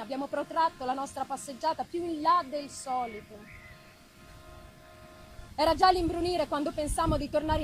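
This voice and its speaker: A woman reads literary prose in a markedly high voice. Her delivery is theatrical and deliberate, phrase by phrase with expressive pauses. Soft live music accompanies her. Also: poor audio. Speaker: reader of a classic novel.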